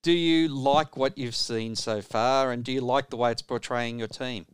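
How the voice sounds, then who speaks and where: A man interviewing on the radio, asking measured, conversational questions. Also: mid-range voice, steady tone, smooth, no room sound.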